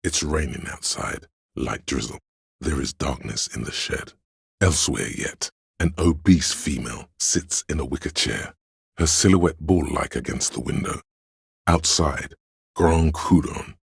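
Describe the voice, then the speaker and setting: Extremely deep, gravelly male narrator. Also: unhurried, no echo, studio quality. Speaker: video game narrator. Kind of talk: dramatic literary scripted narration. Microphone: close studio microphone, dry.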